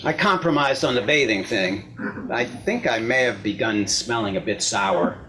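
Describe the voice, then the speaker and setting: A man playing a wounded, self-justifying husband looking back on a failed marriage in a measured, reflective dramatic monologue reading. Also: medium pitch, expressive, heavy background noise, close to an everyday voice. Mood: aggrieved. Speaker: actor in a readers theater performance.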